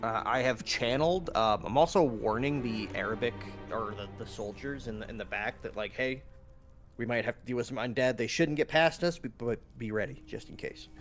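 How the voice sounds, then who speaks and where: A man's voice: mid-range voice, brisk, a bit bright, soft background music. A man talking casually as a player in a tabletop roleplaying game.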